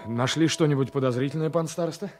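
An actor playing a businesslike, officious gendarme in a radio drama, giving orders and repeating himself. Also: male voice, a little high, over music, natural voice. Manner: brisk authority, repeating himself. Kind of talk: 1980s radio drama acting.

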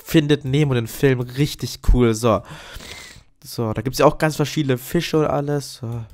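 A man's voice: mid-range voice, steady tone, moderate pace, darkish tone. A man with a cold, giving chatty, upbeat commentary while playing a video game and often addressing his viewers as friends. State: has a cold. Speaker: gaming YouTuber. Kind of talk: casual Let's Play commentary.

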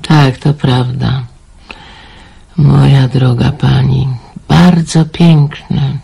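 A man doing a gravelly, fretful old mother. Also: mid-range voice, flat delivery, extremely slow, dark tone, full character voice. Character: worried elderly mother Kazimiera, fretful, talkative. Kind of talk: amateur radio play acting.

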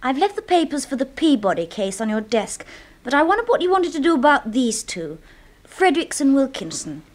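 A sweet, obliging young secretary in a radio play. Her high, lively voice is polite and demure. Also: fairly quick. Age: young adult. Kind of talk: 1970s BBC radio drama acting.

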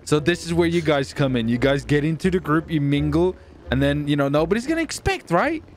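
A man bantering casually and excitedly in video game roleplay, with a cocky, taunting, repetitive manner. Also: a little high, fairly quick. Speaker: streamer roleplaying as gang leader in a video game.

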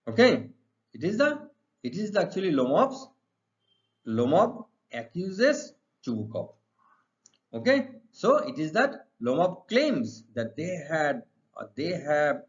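A middle-aged man with an Indian accent teaching an exam lesson, reading out questions and explaining the answers emphatically with repeated phrases. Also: medium pitch. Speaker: English teacher. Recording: dull lo-fi recording.

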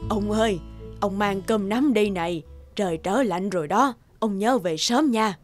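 A woman voicing a caring, anxious old village wife in an animated folktale, fond and fussing. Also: mid-range voice, a little slow, natural voice. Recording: music behind the voice.

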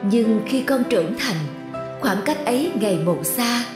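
A woman's voice: a little high, lively intonation, unhurried, roomy sound, over music. A woman reading scripted narration for a moral story.

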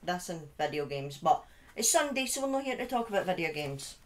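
A woman's voice: lowish voice, big pitch swings, fairly quick. A middle-aged British woman with a speech impediment, chatting casually and telling stories on a livestream in an informal, dramatic way. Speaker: live streamer telling true crime stories. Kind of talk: casual livestream chat and storytelling. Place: small dim room indoors. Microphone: desk microphone on a boom arm, close.

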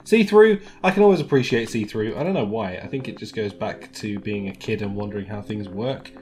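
A man's voice: lowish voice, a little fast, darkish tone. A man in his thirties chatting casually to camera as he reviews products, in a joking, rambling, mock-dramatic way. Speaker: vlogger reviewing novelty products. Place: small room at home, indoors.

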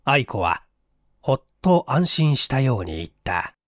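A man's voice: mid-range voice, very animated, unhurried, no echo, studio quality. A man narrating a mystery story as a storyteller, in a scripted dramatic reading.

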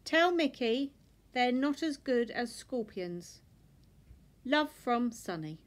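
Woman playing an eager young meerkat, raised pitch. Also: lively intonation, slow. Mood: chatty. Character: young male meerkat, eager, earnest letter writer.

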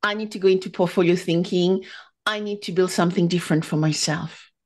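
A woman's voice: on the low side, steady tone, a little fast. A woman hosting a business podcast, reading a scripted introduction and then interviewing a guest over a video call. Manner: enthusiastic, reads a scripted intro. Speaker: podcast host.

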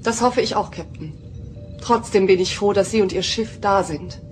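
A woman's voice: medium pitch, normal speed, soft background music, close to an everyday voice. An actress playing a formal, grave female leader of a planet in a sci-fi audio drama, speaking in a stately manner.

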